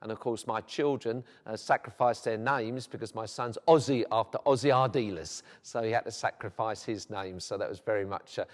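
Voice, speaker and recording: A man's voice: lowish voice, moderate pace, hardly any pauses. A middle-aged man with a South London accent, a vicar talking about his life in a documentary interview, chatty and rambling with many fillers. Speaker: vicar and football club chaplain. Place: church. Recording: long hall reverb.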